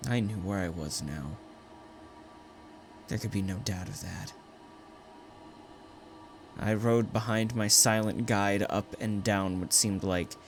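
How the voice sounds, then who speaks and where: A man playing a frightened, brooding condemned prisoner, narrating his ordeal theatrically in a first-person audio drama. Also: deep voice. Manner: theatrical, anguished, swelling from hushed to urgent. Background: music.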